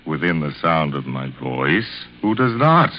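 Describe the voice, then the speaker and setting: A smug, theatrical killer in an old radio thriller. The voice is very low, grand and mocking, on a dull recording with faint noise. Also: male voice, very animated, measured pace, heavily acted. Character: theatrical, gloating, condescending male genius murderer. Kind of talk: 1940s radio suspense acting.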